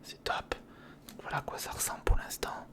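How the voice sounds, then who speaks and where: An ASMR host whispering breathily and close to the mic, thinking aloud to the listener through a step-by-step build. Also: brisk. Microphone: close recorder or studio condenser microphone.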